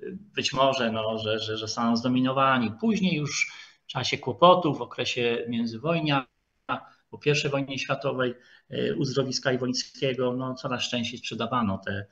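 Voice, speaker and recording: A man lectures over a video call in a medium-pitched voice. He talks haltingly, often stretching filler sounds as he searches for the next phrase. The audio is dull and lo-fi. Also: fairly bright tone. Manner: halting, hesitant, with frequent drawn-out filler sounds. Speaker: lecturer, historian. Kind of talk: online lecture with slides.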